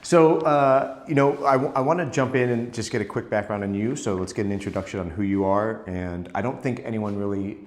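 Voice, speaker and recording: A man's voice: on the low side, fairly quick, hardly any pauses, darkish tone. A man hosting a podcast, reading an upbeat intro and then asking questions loosely, laughing easily. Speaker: podcast host, interviewer. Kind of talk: scripted intro, then casual interviewing.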